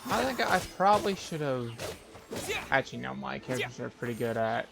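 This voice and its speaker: Creaky-voiced man streaming a game. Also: medium pitch, big pitch swings, fairly quick, over music. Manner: musing to himself in short remarks. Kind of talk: casual live gameplay commentary. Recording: live stream with clear background noise.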